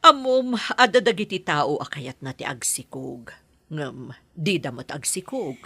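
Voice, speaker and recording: A woman playing a sympathetic, wistful middle-aged landlady with a husky voice in an Ilocano drama. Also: deep voice, animated, a little slow, fairly bright tone, dry recording, heavily acted. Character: motherly landlady, sympathetic, wistful, longing for a child. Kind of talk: Ilocano radio-style comedy drama acting.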